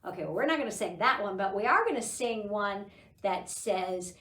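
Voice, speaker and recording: A middle-aged woman hosting a children's storytime, reading aloud and talking to young children in an animated, encouraging way. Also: medium pitch, moderate pace. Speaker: library storytime host. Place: small indoor library room. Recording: roomy echo, distant microphone.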